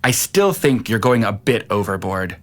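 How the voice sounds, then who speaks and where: A man's voice: lowish voice, sing-song, normal speed. A man playing a skeptical, stern but reasonable middle-aged husband and father in an audio drama. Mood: doubtful.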